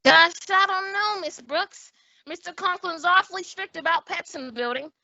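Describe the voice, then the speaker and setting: Gawky, earnest schoolboy for radio comedy, voiced by a woman, bright and forceful, lo-fi audio. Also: extremely high pitch, normal speed, no room sound, exaggerated voice. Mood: eager. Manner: earnest, gee-whiz. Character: eager, gawky teenage schoolboy. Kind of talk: old-time radio comedy acting. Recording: dull lo-fi radio drama audio.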